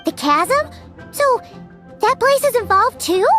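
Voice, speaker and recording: A woman voicing a small, curious, excitable fairy companion with a squeaky childlike voice for a video game. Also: slow pace, full character voice. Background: game music.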